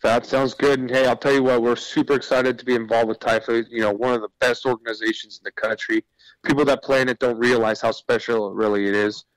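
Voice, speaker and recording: A man's voice: mid-range voice, flat delivery, fast talker, no room sound, rough recording. A man speaking as a guest in a radio interview, explaining his business in an earnest, informal way. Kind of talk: conversational radio interview pitch.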